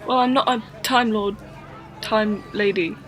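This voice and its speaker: A woman's voice: medium pitch, steady tone, a little slow, some chatter behind, natural voice. A woman playing an earnest, bewildered young companion in an audio drama, a little hesitant. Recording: music behind.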